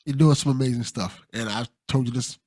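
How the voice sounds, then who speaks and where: A man's voice: medium pitch, fairly quick, mellow, dry recording, studio quality. A man hosting a podcast in a casual, conversational style, encouraging and supportive toward his guest. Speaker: podcast host.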